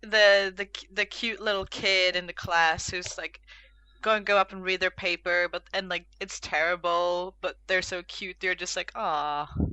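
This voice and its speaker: A woman with a bright, smooth, clear voice. She co-hosts a casual games podcast, speaking informally and dropping "like" all through her sentences. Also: lowish voice, fairly even intonation, moderate pace, no echo, low-quality recording. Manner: informal, peppers talk with "like". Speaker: podcast co-host. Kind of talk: casual panel chat about games.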